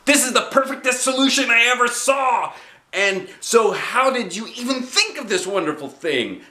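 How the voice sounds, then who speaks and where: A man voicing an excitable, indignant kindergarten girl in a children's storybook, with childish, blurting, emphatic delivery. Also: very high-pitched, moderate pace, reverberant, pitched above the performer's own voice, heavily acted. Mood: excited. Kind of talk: children's storybook read aloud.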